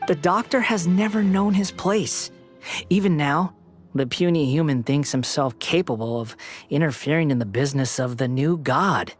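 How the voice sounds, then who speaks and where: An actor playing an arrogant, youthful villain who thinks himself a new god, speaking in haughty, mocking game monologue. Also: male voice, a little high, close to an everyday voice.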